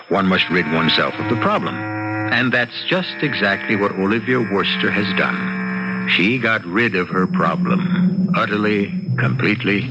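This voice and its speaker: A man hosting and narrating an old-time radio mystery in a deliberate, erudite, dramatic manner. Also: low voice. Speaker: host and narrator. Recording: dull old radio sound with music.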